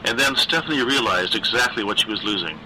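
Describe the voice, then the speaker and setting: A man reading a dark horror story as a scripted narration, with a dramatic, deliberate literary delivery. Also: fairly low pitch, heavy background noise.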